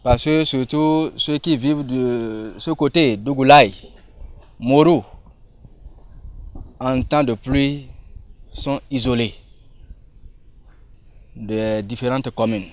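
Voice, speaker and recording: A man, a local official giving a public speech in halting, measured phrases. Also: medium pitch.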